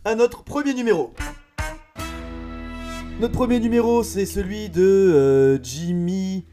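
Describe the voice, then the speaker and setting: A man's voice: high voice, a little slow, over music. A man playing a flustered, pompous radio talent-show host who stumbles through his lines with many filler sounds. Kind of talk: comedy radio sketch.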